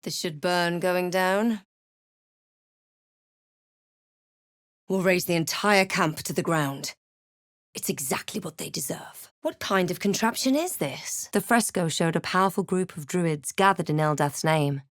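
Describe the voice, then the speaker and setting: Woman acting as video game adventurer heroine, lower-pitched, composed, wary, dramatic measured delivery, dry studio sound. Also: no room sound, close to an everyday voice. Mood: wry, measured. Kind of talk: fantasy video game voice lines and narration.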